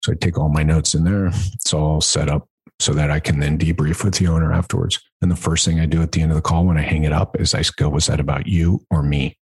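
A man's voice: extremely low pitch, level tone, quick pace, a little mellow, slightly rough, no echo, low-quality recording. A man hosting an instructional podcast in a conversational way, stressing and repeating key points like a coach. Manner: emphatic, repeats key points, asks rhetorical check-in questions. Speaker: podcast host and business coach.

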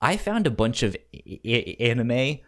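A man's voice: medium pitch, very animated, unhurried. A man hosting a casual podcast, often stammering and repeating his words. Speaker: podcast host.

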